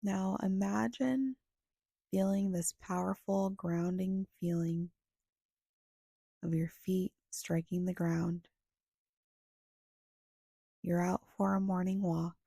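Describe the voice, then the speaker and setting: A woman leads a guided meditation in a soft, husky, breathy voice that often slips toward a whisper. She speaks very slowly and evenly, with long pauses between short, soothing phrases. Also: on the low side, fairly even intonation, no room sound, studio quality.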